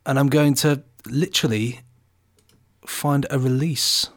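A man's voice: medium pitch, fairly even intonation, measured pace. A man co-hosting a podcast in casual, playful chat. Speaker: podcast co-host.

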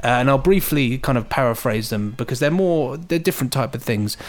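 A man talks in a loose, chatty podcast style. His voice sits at an ordinary middle pitch, and he moves between jokey remarks and reading emails aloud as a co-host. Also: brisk. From England. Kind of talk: casual podcast chat and reading listener emails.

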